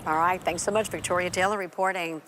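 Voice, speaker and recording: A woman's voice: on the low side, steady tone, fast, a bit bright, a little hiss or hum, low-quality recording. A woman with an American accent anchoring a live television news broadcast, handing off to reporters.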